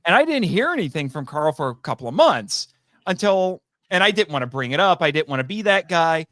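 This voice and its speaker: A man chatting casually as a podcast guest, telling anecdotes in a rambling, animated way and acting out bits of conversation. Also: fairly high pitch, brisk, no room sound, studio quality. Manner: rambling, animated storytelling with acted-out quotes. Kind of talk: casual anecdotal interview chat.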